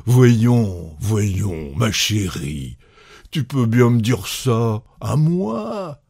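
A man playing an insistent, repetitive husband, jovial but quick to jealous fury, in a storybook reading. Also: medium pitch, big pitch swings, gravelly, darkish tone, husky, no echo, studio quality, full character voice. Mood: gleeful, coaxing. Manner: insistent, repeating himself.

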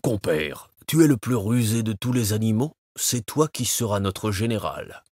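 A man reading a storybook and voicing a big, clumsy, nosy male bear in a slow, gruff way. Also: lowish voice, lively intonation, a little mellow, dry recording, clean studio sound. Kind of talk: storybook voice acting.

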